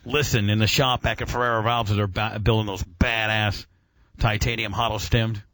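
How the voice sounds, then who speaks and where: A man's voice: lowish voice, level tone, moderate pace, a bit bright, no room sound. A man hosting a casual radio show, explaining and quizzing with an enthusiastic, profane, teacherly manner.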